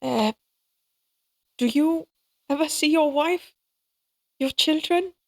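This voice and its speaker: A tender, anxious young mother played by a woman in a cinematic audio drama. Her voice is high and she takes her time with each phrase. She comes across as hesitant and wistful. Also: slow. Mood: hesitant, shy. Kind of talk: cinematic audio roleplay acting.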